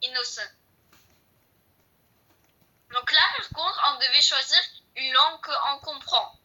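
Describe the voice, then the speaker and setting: A woman's voice: high-pitched, normal speed, bright, forceful tone, lo-fi sound. A woman with a slight Portuguese accent chatting casually as she plays a game, repeating herself often and speaking to her viewers. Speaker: gaming video host. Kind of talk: casual chat while playing a game.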